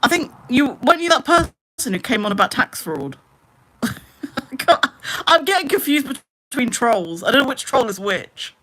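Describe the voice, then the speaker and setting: A woman in her late twenties chatting casually on a live stream, rambling with lots of "like" and trailing into low mutters. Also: big pitch swings, normal speed, bright, forceful tone. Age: 20s. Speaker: livestreamer.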